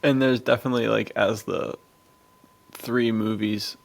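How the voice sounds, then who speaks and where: A man's voice: fairly low pitch, steady tone, a little slow, vocal fry. A man in a casual podcast discussion, chatty and amused, rambling along in slangy, informal phrases. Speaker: podcast co-host.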